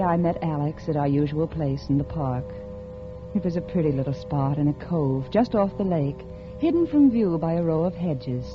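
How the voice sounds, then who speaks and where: Low-voiced scheming wife, old radio drama. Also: female voice. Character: scheming, unhappily married woman in love with another man. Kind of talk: mid-century radio drama acting, confessional narration and dialogue. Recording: dull old radio recording with music.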